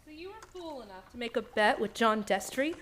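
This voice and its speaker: A woman's voice: a little high, animated, brisk, fairly bright tone, slight background noise. An actress playing a worried, loyal young woman in a suspense radio play, earnest and concerned.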